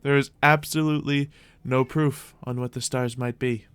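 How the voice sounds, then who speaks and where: A man's voice: mid-range voice, fairly even intonation, normal speed, smooth. A man doing live gaming stream commentary with playful roleplay, rambling along in a playful, mock-grandiose way. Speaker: game streamer.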